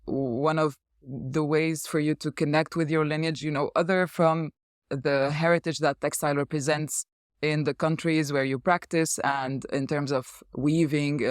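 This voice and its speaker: A man hosting a conversational interview, speaking haltingly as he searches for words. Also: on the high side, no echo, clean studio sound. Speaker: interviewer, host.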